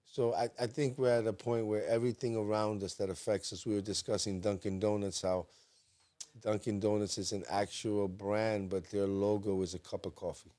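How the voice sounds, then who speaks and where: A man's voice: lowish voice, moderate pace. A man co-hosting a podcast in a studio, talking casually and getting emphatic when he makes a point. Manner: emphatic, gets animated when making a point. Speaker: podcast co-host.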